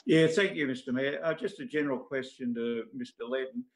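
Male local councillor with an Australian accent, mid-pitched, putting straightforward questions to a meeting. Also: a little fast. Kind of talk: plain-spoken questioning in formal meeting.

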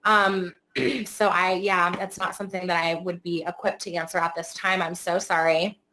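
A woman in her thirties, slightly hoarse and losing her voice, giving casual webinar advice as an herbalist and esthetician over a video call. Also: on the low side, flat delivery, normal speed, bright, forceful tone, rough recording. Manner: casual, chatty, many fillers. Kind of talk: webinar presentation. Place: indoor room by a window.

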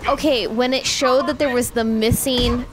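A woman's voice: a little high, fairly even intonation, moderate pace, background noise. A woman co-hosting a casual reaction show, thinking aloud in a puzzled way.

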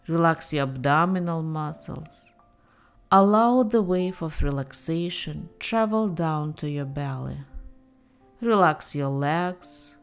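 A woman narrating a guided meditation in a calm, gentle and deliberate manner, giving soothing scripted instructions with pauses. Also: deep voice. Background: soft meditation music.

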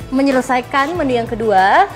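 A woman's voice: sits high, expressive, normal speed, soft background music. A young woman hosts a live cooking demonstration, chatting casually and exclaiming to viewers. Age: young adult. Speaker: cooking show host. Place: studio kitchen set, indoors.

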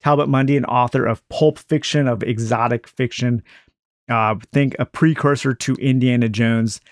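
Man, gravelly, dry close sound, enthusiastic book haul chat, mid pitch. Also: fairly even intonation, dry recording. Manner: enthusiastic, unhurried, many uh fillers. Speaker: book collector showing a book haul. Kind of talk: casual book haul chat with a read-aloud passage. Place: indoors at a table with books.

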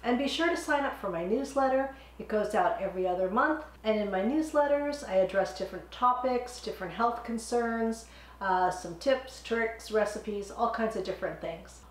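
A middle-aged woman hosting a home cooking channel, a bit hoarse from a cold, explaining a recipe step by step in a friendly, chatty way. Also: fairly high pitch, normal speed, reverberant. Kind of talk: casual cooking demonstration. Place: home kitchen, indoors.

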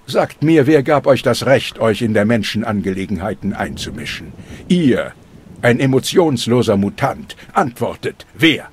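An actor playing a stern, solemn older preacher of a fire cult in a dubbed video game. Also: male voice, mid-range voice, big pitch swings, normal speed, slightly rough. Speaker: game character. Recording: game audio with music underneath.

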